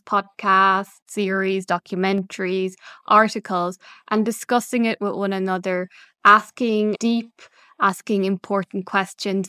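A woman's voice: mid-range voice, a bit bright, clear, resonant tone, dry recording, studio quality. A woman from Ireland, an English teacher hosting her podcast in an upbeat, encouraging, conversational way. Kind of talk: casual solo podcast chat.

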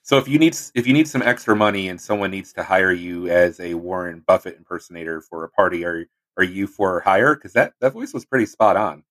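Low-voiced man hosting a podcast. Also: a little fast, hardly any pauses. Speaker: host. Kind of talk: podcast conversation.

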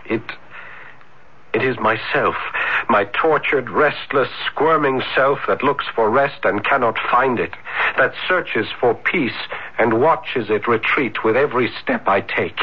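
A man's voice: medium pitch, breathy edge. An actor playing a tormented, brooding young male student with intense, agonized delivery in an old-time radio drama. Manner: theatrical, agonized, florid. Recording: dull vintage radio broadcast recording.

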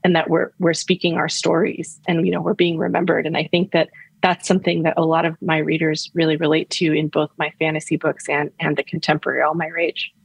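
Husky woman author in a dry studio. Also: low-pitched, little pitch movement, fairly quick, no echo. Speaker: author guest. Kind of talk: radio interview.

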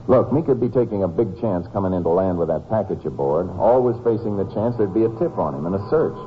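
An actor playing a steady, shrewd Texas Ranger in a 1950s radio drama, speaking and narrating in a terse, matter-of-fact way. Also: male voice, low-pitched, quick pace, a little hiss or hum. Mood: focused, deductive. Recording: muffled old-time radio recording with music.

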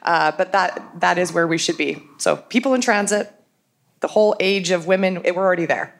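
A woman's voice: fairly low pitch, fairly quick, vocal fry. A woman speaking frankly and forthrightly as a transit executive on a conference panel. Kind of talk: panel discussion.

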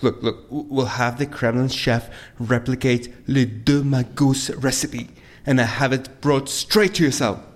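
An actor playing a scheming, anxious government agent with a businesslike, pressing manner in an audio drama. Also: male voice, mid-range voice, fairly even intonation, unhurried, natural voice. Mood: anxious pleading. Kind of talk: audio fiction acting.

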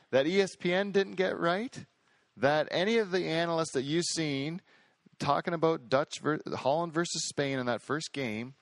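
A man hosting a podcast in casual chat, boastful and excitable, rambling with lots of restarts. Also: mid-range voice, no echo. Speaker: podcast host.

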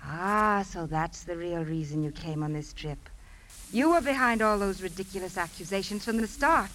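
A woman acting as a poised, proud leading lady in a 1950s radio drama. She speaks in a polished, composed manner at a medium pitch. The old broadcast carries a faint noise. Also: moderate pace, a bit bright, lightly acted. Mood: indignant. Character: poised, cool woman suspected of poisoning, proudly defiant.

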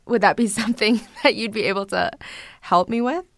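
A woman from Korea hosting a documentary podcast, narrating and interviewing softly with thoughtful pauses. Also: on the high side, no echo, clean studio sound.

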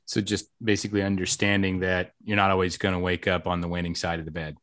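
Low-voiced talkative male podcast host. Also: flat delivery, fast talker, fairly bright tone. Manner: talkative, upbeat, self-deprecating jokes. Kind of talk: casual podcast interview.

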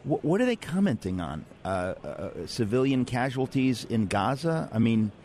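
A man's voice: medium pitch, big pitch swings, normal speed, darkish tone. A man hosting a conversational radio interview in a wry, candid manner, speaking from a convention center. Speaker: talk show host. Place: on a platform in a large convention center. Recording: noisy crowded convention floor.

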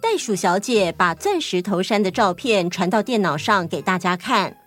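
A woman tells a children's story in scripted narration. Her pitch is mid-range and very expressive, rising and falling freely. Gentle music sits behind her. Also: normal speed.

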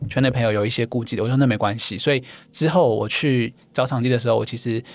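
Man, podcast guest, gentle chatty manner, rapid delivery. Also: mid-range voice, steady tone, no echo. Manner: gentle, chatty, laughs often, many fillers. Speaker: podcast guest, event founder. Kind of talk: casual interview chat.

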